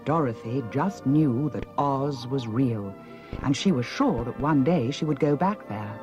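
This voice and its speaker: A woman with a British accent reading a children's story as an expressive audiobook narrator. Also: rumbling low, unhurried, faint music behind. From United Kingdom.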